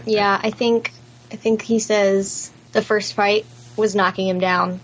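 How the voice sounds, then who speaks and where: A woman's voice: medium pitch, level tone, unhurried, slight background noise, rough recording. A woman co-hosting a podcast, discussing a film casually and giving her opinions plainly. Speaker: podcast co-host.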